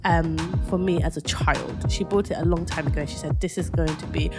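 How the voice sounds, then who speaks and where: A woman co-hosting a casual podcast, telling stories in an animated way and laughing often. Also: sits low, fairly quick, over music. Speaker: podcast co-host. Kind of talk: casual chat.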